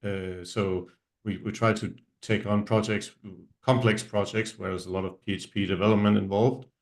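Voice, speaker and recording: A middle-aged man from Denmark with a fairly low voice, introducing his company on a video call. He speaks English as a second language, pausing often with ums and restarting his sentences, his voice sometimes trailing off softly. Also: little pitch movement. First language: Danish. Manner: halting, many ums and restarts, trailing off quietly. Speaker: web agency owner, guest speaker. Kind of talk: virtual meetup self-introduction.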